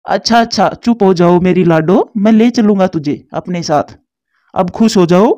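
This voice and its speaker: A woman's voice: lowish voice, normal speed, dark tone, no echo, highs cut off, close to an everyday voice. A woman voicing a doting, fretful father pigeon in a children's cartoon. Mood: tender.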